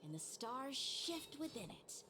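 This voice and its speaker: An actress playing a dreamy young sword lover in a video game, musing poetically. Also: female voice, high voice, big pitch swings, unhurried, noisy background. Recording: game audio under loud music.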